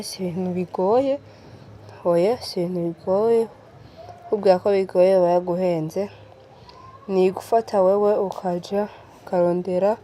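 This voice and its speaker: Mellow female Burundian tutorial voice. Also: mid-range voice, a little hiss or hum. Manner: repeats words and steps. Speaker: tutorial presenter. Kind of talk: step-by-step app tutorial.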